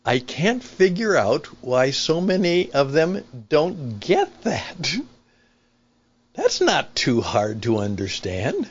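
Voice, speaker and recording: Older guy podcast host, slow emphatic talker explaining his opinions, bit of a dull lo-fi sound. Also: a little high, wildly swooping pitch, husky. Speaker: podcast host and veteran broadcaster. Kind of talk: conversational opinion monologue.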